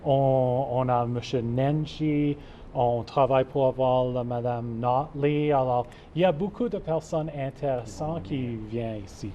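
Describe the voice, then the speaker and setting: Canadian guy, english is his first language, speaks with an anglo accent, careful and halting, mellow voice, faint hiss. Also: mid-range voice, lo-fi sound. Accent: English Canadian accent in French. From Calgary, Canada. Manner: careful, halting, frequent fillers. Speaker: guest, club co-chair. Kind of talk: interview answers in a second language.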